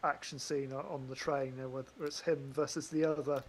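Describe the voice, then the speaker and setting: Higher-voiced man chatting about films. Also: level tone, fairly quick, a little hiss or hum. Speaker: podcast co-host. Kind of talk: casual film discussion.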